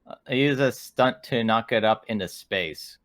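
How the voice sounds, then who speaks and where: Lowish-voiced man playing a tabletop game. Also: moderate pace, fairly bright tone, dry recording, clean studio sound. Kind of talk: casual game table talk.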